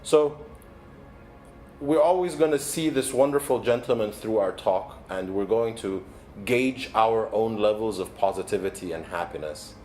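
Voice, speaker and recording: A man in his forties from Egypt, a native Arabic speaker, delivers a motivational keynote as a coach in an earnest, measured, deliberate way. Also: on the low side, a little mellow, soft background music. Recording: background noise, ambient sound.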